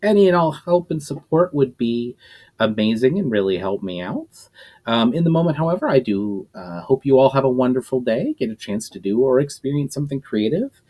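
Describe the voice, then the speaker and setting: A man who is the author reading his own novel aloud, narrating at a steady, unhurried pace with occasional slips. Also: medium pitch.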